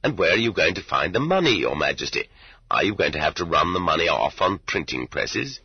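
Sneering interrogator colonel for audiobook, played by a male narrator: husky, sarcastic, condescending, muffled recording. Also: sits low, big pitch swings, brisk, heavily acted. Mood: mocking. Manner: sarcastic, pointedly questioning. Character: mocking lieutenant colonel interrogator, amused and condescending. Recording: dull lo-fi roomy cassette audiobook.

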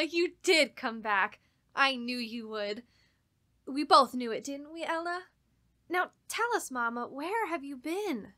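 A woman's voice: sits high, pushed and bright, exaggerated voice. An actress playing a naive, trusting grown daughter who misses her mother, earnest and folksy in a radio horror drama. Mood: overjoyed, anxious.

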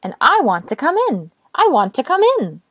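A cheery little sun, voiced by a woman for a children's storybook. It sounds high and animated, eager and insistent. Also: moderate pace, husky, no room sound, studio quality, full character voice.

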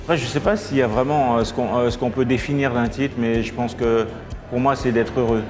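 A man's voice: mid-range voice, steady tone, brisk. Middle-aged guy, thoughtful interview answers, music playing under. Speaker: guest, contest sponsor. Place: indoor reception hall.

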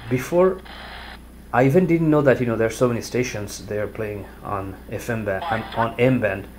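A man giving a casual product review in his living room, talking in a halting, plainspoken way with many fillers, recorded on a camera set on a table. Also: medium pitch, over music, low-quality recording. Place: living room at home, indoors.

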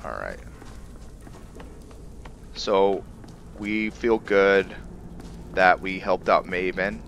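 A man's voice: low-pitched, sing-song, extremely slow, creaky, a bit bright, highs cut off, slight background noise. A man giving casual let's-play commentary as a gaming host, musing aloud as he thinks through his decisions. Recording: game music behind the voice. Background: video game music.

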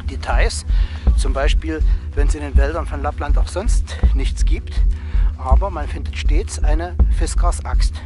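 A man's voice: on the high side, low-quality recording. A man narrating a casual outdoor vlog from behind a handheld camera, chatting with companions in playfully ornate, wry phrases. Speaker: vlogger narrating an outdoor hike. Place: outdoors in a snowy forest by a campfire. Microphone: handheld camera, speaker behind it.

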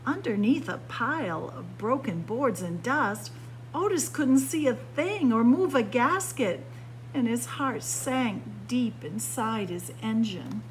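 A woman reading a children's picture book aloud as a story time narrator, with expressive, dramatic phrasing. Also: takes it slowly, poor audio. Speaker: library story time reader. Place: indoors by bookshelves. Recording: clear background noise with low hum.

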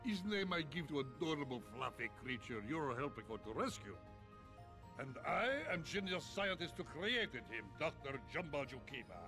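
An actor playing a big, boastful alien scientist in a video game, with a Russian accent and grandiose, stilted broken English. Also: male voice, on the high side, expressive, normal speed, close to an everyday voice. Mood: scheming, proud. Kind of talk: video game cutscene acting. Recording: game audio under loud music. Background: loud game music.

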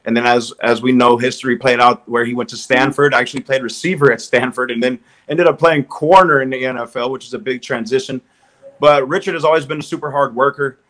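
A man's voice: medium pitch, fairly even intonation, fast talker, a bit bright. Friendly, upbeat Southern Californian man. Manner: upbeat, friendly, repeats words while thinking. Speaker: guest, strength and conditioning coach. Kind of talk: casual video call interview. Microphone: video call.

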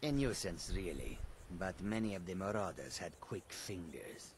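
An actor playing a courteous, wistful cat-man caravan guard in a video game, speaking in a measured, formal way. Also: deep voice, expressive, a little hiss or hum. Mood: grumbling, matter-of-fact. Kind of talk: video game character dialogue.

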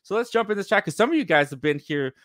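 Mid-twenties male host for a casual live chat; upbeat, filler-heavy, a touch high, dry home-studio sound. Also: lively intonation, fairly bright tone, dry recording. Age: 20s. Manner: chatty, quick and upbeat, with frequent fillers. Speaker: livestream host. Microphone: boom mic in front of face.

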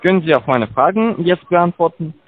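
A man's voice: a little high, animated, normal speed, soft background music. A man in on a scam call, speaking broken insistently. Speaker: call center agent. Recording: tinny phone line.

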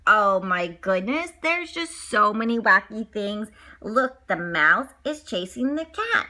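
A woman's voice: medium pitch, sing-song, slow pace, bright, forceful tone. A woman doing a playful children's read-along, exclaiming, repeating phrases and adding her own asides. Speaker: storybook reader for children.

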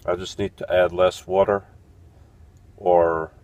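Slow, deep-voiced man in a van. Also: no echo. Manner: relaxed, thinking aloud with fillers and restarts. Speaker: van life vlogger. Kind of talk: casual how-to vlog. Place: inside a small camper van.

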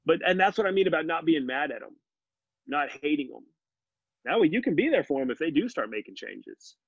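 A man's voice: on the high side, animated, fairly quick, slightly rough, dry recording. A man in his forties, a podcast guest, talking earnestly and animatedly over a video call from his living room. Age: 40s. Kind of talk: casual conversational interview. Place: home living room with bookshelves. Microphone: video call, laptop mic. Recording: muffled dry video call audio.

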